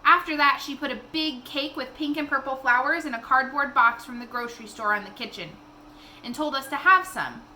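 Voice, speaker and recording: A woman reading a children's novel aloud in an expressive storytelling style. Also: high-pitched, a little fast, slight background noise.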